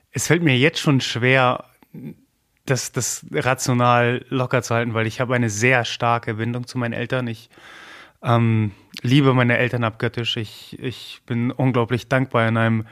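Polish-native guy in an interview, earnest and emotional, lots of ums and restarts, middle-pitched voice. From Germany. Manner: earnest, halting, many fillers and repeated words. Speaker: interview guest. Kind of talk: personal interview talk.

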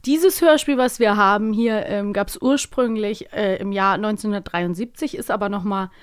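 A woman's voice: mid-range voice, normal speed, clear, resonant tone. A woman in her thirties co-hosting a casual podcast chat, curious and conversational, with frequent agreeing interjections. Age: 30s. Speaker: podcast co-host.

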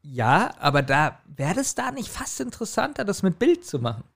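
Guy with a really high voice, middle aged, rambly casual storytelling on a podcast. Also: big pitch swings, moderate pace, vocal fry, a bit bright. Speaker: podcast co-host.

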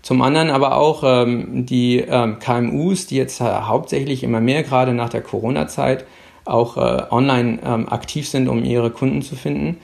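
A middle-aged man from Hamburg, a guest speaking over a phone line, answering in a halting way with many fillers. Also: medium pitch, steady tone, gravelly, a little mellow. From Hamburg, Germany. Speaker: guest, policy official. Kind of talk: interview answers.

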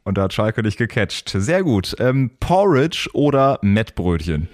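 A man hosting a podcast, casually putting quick questions to a guest. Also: fairly low pitch, big pitch swings. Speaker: podcast host.

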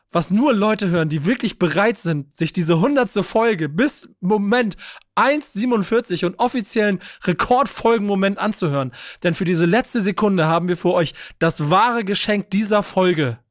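High-voiced energetic podcast host. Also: male voice, moderate pace, no room sound, studio quality. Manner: energetic, swearing, joking. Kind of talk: casual chat.

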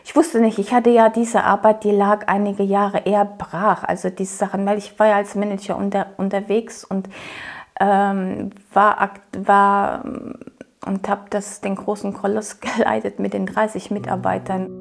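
Middle-aged woman, gravelly candid voice. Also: medium pitch, little pitch movement. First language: German. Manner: candid, reflective, with restarts and pauses. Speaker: interviewee, children's ministry worker. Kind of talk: personal interview testimony. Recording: music playing underneath.

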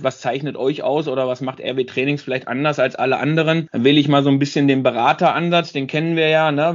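A man in his thirties explaining his work as a sales trainer in an earnest, conversational interview style. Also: mid-range voice. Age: 30s. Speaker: sales trainer, company founder. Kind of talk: conversational interview talk about own work. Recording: dull lo-fi sound.

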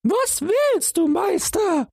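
A man's voice: very high voice, big pitch swings, slow pace, creaky, a little mellow, no echo, clean studio sound, pitched above the performer's own voice, exaggerated voice. A man voicing three tiny red men in nightcaps, sleepy and grumbling.

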